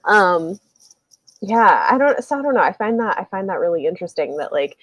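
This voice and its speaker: A woman's voice: medium pitch, big pitch swings, brisk, no room sound. A woman hosting a casual book discussion, thinking aloud in a rambling way with lots of fillers. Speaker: live show host. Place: indoors, livestream video call.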